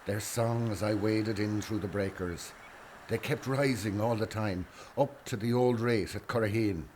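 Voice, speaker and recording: A man's voice: fairly low pitch, fairly even intonation, a little slow, darkish tone, lightly acted. A man voicing a wistful rebel prisoner in a lyrical dramatic reading of a letter for a documentary. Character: Irish male rebel prisoner recalling his homecoming, wistful. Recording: faint noise and ambience behind.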